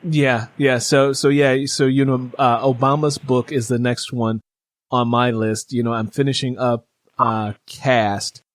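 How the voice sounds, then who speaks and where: A man hosts a casual book chat in a conversational style. His voice sits at a middle pitch for a man, and the sound is close and dry, with very little room echo. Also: level tone, unhurried. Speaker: interview host and audiobook narrator.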